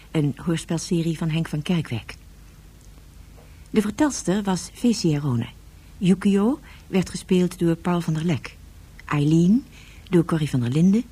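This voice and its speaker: A woman's voice: fairly low pitch, mellow, husky. A woman announcer reading scripted closing credits for a radio drama series.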